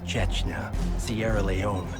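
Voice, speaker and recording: Self-assured male conspirator boss, low slow voice, deliberate game cutscene delivery over loud music. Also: flat delivery. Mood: cool, controlled. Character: calculating, self-assured male leader of a secret society. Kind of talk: video game cutscene acting.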